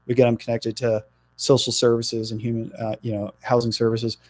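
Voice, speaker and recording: A middle-aged man from New Jersey, a political candidate talking in a radio interview, earnest and halting with frequent fillers. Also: mid-range voice, completely flat delivery, darkish tone.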